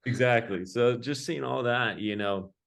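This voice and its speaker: A man's voice: medium pitch, a little slow, creaky, fairly bright tone. A young man, telling his own story casually as an interview guest, in loose, informal phrasing. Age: young adult. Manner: informal, loose phrasing peppered with "like" and "you know".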